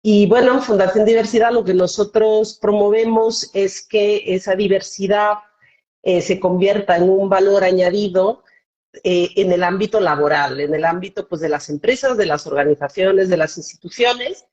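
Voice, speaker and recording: A woman's voice: medium pitch, moderate pace, darkish tone, highs cut off. A Swiss-born woman whose Spanish carries a Mexican-tinged accent, speaking as a guest in a live online interview, hesitant, with many fillers and restarts. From Switzerland. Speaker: foundation director, guest.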